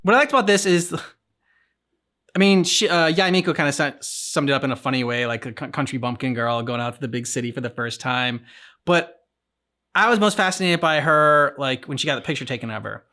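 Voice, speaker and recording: A man's voice: a little high, a little fast, bright, forceful tone. A man co-hosting a game reaction show, talking in a loose, conversational way. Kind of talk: casual game reaction commentary.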